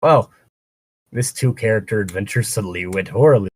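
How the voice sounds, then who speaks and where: A man's voice: fairly low pitch, a little fast, slightly rough, dry recording. A man co-hosting a casual fan fiction read-along, riffing with wry asides as he reads. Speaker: co-host of a fan fiction reading show.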